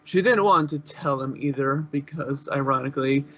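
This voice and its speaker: A man's voice: mid-range voice, normal speed, bright, forceful tone, dull audio, slight background noise. A man narrating fan fiction in an informal amateur style, occasionally stumbling over words.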